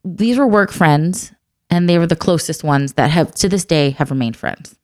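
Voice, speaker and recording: A woman's voice: low voice, normal speed, dry recording, studio quality. A woman in her thirties, an adoptive mother, talking earnestly and reflectively in a candid conversation. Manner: earnest, reflective, soft-spoken.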